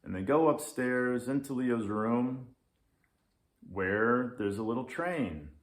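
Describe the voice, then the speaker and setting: A man tells a children's story in a medium-pitched voice. His intonation is lively and varied. The room around him gives an audible echo. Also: takes it slowly. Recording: roomy echo.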